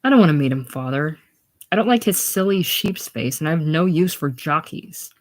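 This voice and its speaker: A reader voicing a bold, quick-tempered young farm woman, loud and fierce. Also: mid-range voice, lively intonation, lightly acted. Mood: petulant.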